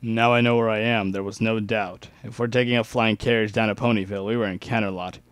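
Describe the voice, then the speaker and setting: A man's voice: on the low side, fairly even intonation, quick pace, clear, resonant tone, dry recording. A young man giving an amateur dramatic reading of a first-person story.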